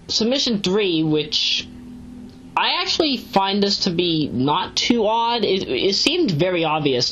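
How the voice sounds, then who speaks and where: A dull, lo-fi home recording of a woman with a lowish voice. She talks through a review casually and without a script. She wanders off into digressions and stresses her side points as she goes. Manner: rambling, digressive, emphatic asides. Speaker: amateur web series reviewer. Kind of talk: casual unscripted review commentary.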